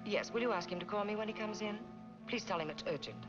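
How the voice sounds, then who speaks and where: A middle-aged actress playing a wealthy, proud, bitter wife in a 1940s film noir, with crisp, formal diction. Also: female voice, mid-range voice, fast, music in the background, natural voice. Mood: urgent, composed. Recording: dull 1940s film soundtrack.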